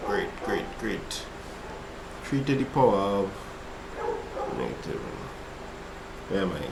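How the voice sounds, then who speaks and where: A man's voice: deep voice, slow, gravelly, reverberant, noisy background. A man with a Trinidadian accent who tutors maths over a casual livestream and chats with viewers between steps. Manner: relaxed, chatty, reads chat aloud while working problems. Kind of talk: casual livestream study session.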